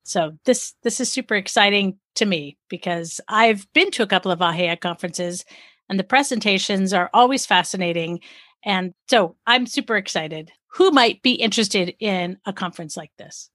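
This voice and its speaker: Woman, interview host, enthusiastic, friendly reactions, mid pitch, dry recording. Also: normal speed, fairly bright tone, no echo. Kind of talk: hosting an interview.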